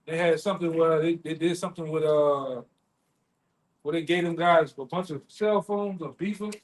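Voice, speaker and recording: A man's voice: fairly high pitch, fairly even intonation, moderate pace, rough recording. A man in casual, unscripted talk, colloquial and prone to repeating his phrases. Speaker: member of a street preaching group.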